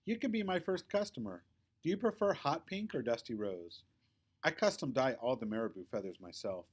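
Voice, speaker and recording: Storybook role: bossy, haughty young girl with big ambitions, played by a male reader. Condescending, emphatic, highly varied intonation. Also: expressive, normal speed, husky. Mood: boastful. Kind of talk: children's storybook read-aloud.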